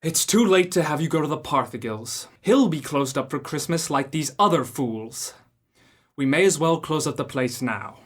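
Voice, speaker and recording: A man's voice: a little high, lively intonation, normal speed. A man playing a stingy, grumbling old male miser in a student radio drama, curt and scornful, barking orders. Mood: grudging.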